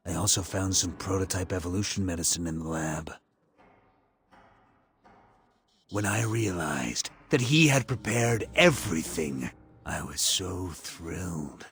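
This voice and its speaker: A man's voice: low voice, animated, fairly bright tone. An actor playing a grandiose, cold mad-scientist villain in a video game, savoring each phrase of his monologue with theatrical swells. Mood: triumphant. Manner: slow, deliberate, savoring monologue with dramatic swells. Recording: echoey, music underneath.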